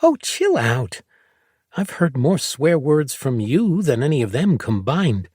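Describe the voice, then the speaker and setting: A bratty, stubborn little girl with a sharp, squeaky high voice, put on by a male audiobook narrator. Her pitch jumps around as she teases and pushes back. The voice sits close and dry, with no room around it. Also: wildly swooping pitch, slow, breathy edge, no room sound, full character voice. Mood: teasing. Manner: raised pitch, bratty and insistent.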